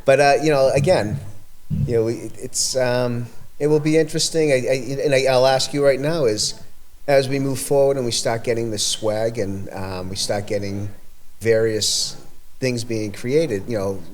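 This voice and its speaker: A man's voice: medium pitch, fairly even intonation, darkish tone. Rambling man with an Everett accent. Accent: Everett, Massachusetts. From Everett, Massachusetts. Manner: rambling, halting with many fillers and restarts. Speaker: community event organizer. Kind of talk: casual podcast conversation.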